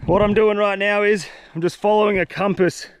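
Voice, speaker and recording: Blocked-up vlogger, high-voiced. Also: male voice, steady tone, brisk, clean tone, no room sound. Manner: laid-back, chatty, slangy. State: congested, blocked-up nose. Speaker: fishing vlogger. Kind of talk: casual outdoor vlog to camera. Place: dense humid forest, outdoors.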